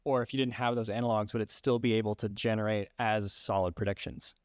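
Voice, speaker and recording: A man at a panel quickly asking a string of follow-up questions. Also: on the low side, steady tone, clean tone, no room sound, studio quality.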